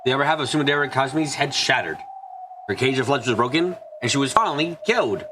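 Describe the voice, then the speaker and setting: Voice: medium pitch, animated, quick pace, noisy background. A streamer narrating a visual novel as a live fan dub, in a dramatic storytelling manner. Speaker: streamer reading the story and all parts.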